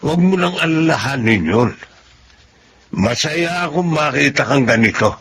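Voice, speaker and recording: Creaky, lively kind old Filipino grandfather. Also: fairly high pitch, moderate pace, slight background noise. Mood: pleased, welcoming. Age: older. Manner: encouraging, fatherly. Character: kindly old grandfatherly benefactor of village students. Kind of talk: Filipino radio drama acting.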